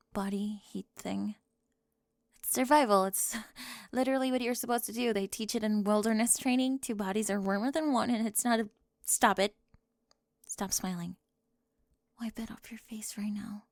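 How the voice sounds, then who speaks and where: A woman performing a whispered ASMR roleplay, teasing and sharp-tongued, moving between whispers and soft speech into a close microphone. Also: medium pitch, vocal fry, dry recording.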